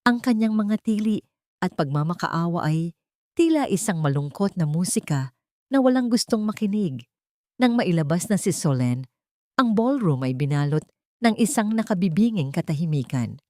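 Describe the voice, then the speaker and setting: Woman narrator for dramatic audio story, bit low pitched, scripted read, dry recording. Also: lively intonation, normal speed, a little mellow, no room sound.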